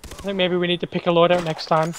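A man's voice: high voice, level tone, quick pace. A man co-hosting a gaming stream, trading casual, dry and teasing banter with friends. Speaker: co-host of a gaming livestream. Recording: faint noise, game gunfire behind.

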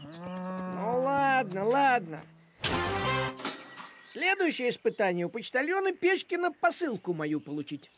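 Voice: medium pitch, sing-song, a little slow, long pauses, fairly bright tone, soft background music. An actor voicing a proud, grumpy, bossy talking tomcat in a children's cartoon, huffy and pompous in manner. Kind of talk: animated children's cartoon acting.

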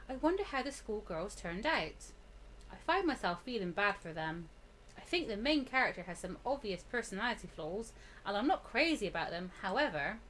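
A woman's voice: fairly high pitch, a bit bright, a little hiss or hum, voice pushed down, low-quality recording. A woman voicing the frightened young protagonist of a horror visual novel, dramatic and anxious.

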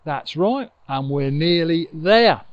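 Man reading as a kindly, lively storybook badger. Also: fairly high pitch, slow pace, dry recording, lo-fi sound. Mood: reassuring. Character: kindly, reassuring male badger guide, anxious to help.

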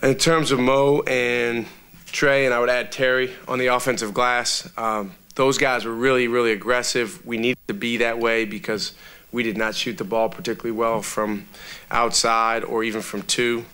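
Bright-voiced coach with lots of fillers. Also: male voice, mid-range voice, smooth. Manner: measured, steady, many "uh, you know" fillers. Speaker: college basketball coach. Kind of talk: post-game press conference answers. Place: press room before a sponsor backdrop. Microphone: table microphone.

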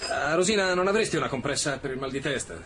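An actor playing a weary, brusque police commissioner in a naturalistic radio drama, terse and muttering. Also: male voice, on the high side, lively intonation, fast, close to an everyday voice. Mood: polite, tired. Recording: noisy radio drama with ambient sounds.

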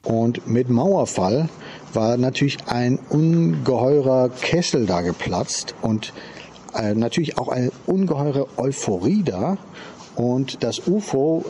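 A man's voice: mid-range voice, dark tone, a little hiss or hum. A man reminiscing in an interview, explaining things in an emphatic, colloquial way. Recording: music behind the voice.

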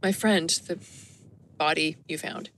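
An actress playing an anxious but level-headed daughter in an audio drama, pleading and exasperated. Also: female voice, sits low, fairly even intonation, a little slow, fairly bright tone. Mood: grieving.

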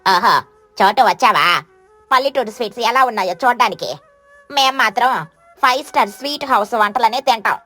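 A woman's voice: fairly high pitch, expressive, normal speed, bright, forceful tone, faint music behind. A woman voicing a boastful, showy mother crow in a cartoon. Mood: mocking. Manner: bragging, haughty.

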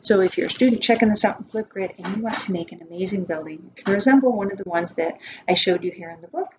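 An American woman reads a children's nonfiction book aloud in the instructive way of a preschool teacher. Her voice sits at a middle pitch. The recording is a dull webcam capture in a room, with a little noise behind her. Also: fast talker. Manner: instructive, asks listeners questions.

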